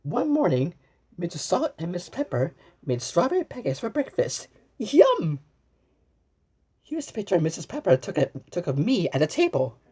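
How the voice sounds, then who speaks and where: Woman, children's story reading, swooping intonation, husky edge, exclamatory, halting with repeated words. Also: lowish voice, wildly swooping pitch, warm tone. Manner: exclamatory, asks the listener questions and pauses as if answered. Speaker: storybook reader. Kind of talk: animated children's read-aloud with asides to the viewer.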